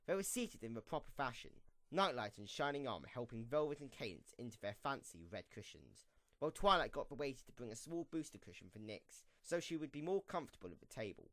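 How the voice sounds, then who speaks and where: A man's voice: mid-range voice, big pitch swings, fast, a bit bright, no echo. A man reading scripted story narration for a fan audio drama.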